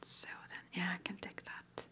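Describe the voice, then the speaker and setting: A woman doing an ASMR roleplay in a breathy, unvoiced whisper, with a gentle, unhurried manner. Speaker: ASMR roleplay performer playing a witch school interviewer.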